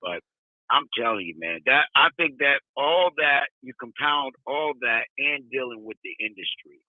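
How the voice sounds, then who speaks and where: A man's voice: mid-range voice, animated, normal speed, dry recording. A man chatting casually as a talk show guest, giving opinions in a plain-spoken, slangy, emphatic way over a lo-fi line. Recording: muffled lo-fi radio-like call line.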